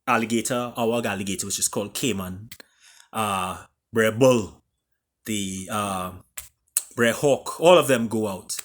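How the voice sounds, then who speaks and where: A man reading and retelling a fable as its author, with dramatic pauses and emphatic delivery. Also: fairly low pitch. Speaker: author reading his own book.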